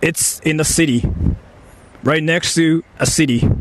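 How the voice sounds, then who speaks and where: Male streamer, Japanese accent, higher-pitched voice, animated and repetitive casual chat, some outdoor street noise. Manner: animated, repetitive, halting phrasing. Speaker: live-streamer. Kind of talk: casual livestream chat to viewers. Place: outdoors in a city.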